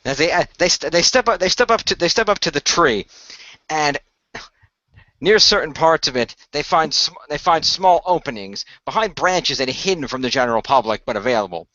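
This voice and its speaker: A man improvising narration as game master of a tabletop adventure, halting and repeating phrases as he goes. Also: on the high side, expressive, bright, forceful tone, dry recording, lo-fi sound.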